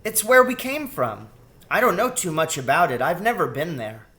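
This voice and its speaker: A man's voice: on the high side, moderate pace, voice pushed up, exaggerated voice. A man voicing a small young girl rat in a storybook, childish, wary and chatty. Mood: eager explaining. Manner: childish, excitable, suspicious questioning. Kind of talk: storybook character voice in a read-aloud.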